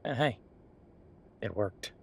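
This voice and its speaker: A man playing an affectionate, teasing chef boyfriend in an intimate roleplay audio, talking in a conversational, reassuring way with a husky voice. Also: lowish voice, expressive, slow pace, exaggerated voice. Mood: fond, playful. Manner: conversational, reassuring, playfully bantering. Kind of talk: intimate one-sided boyfriend roleplay acting.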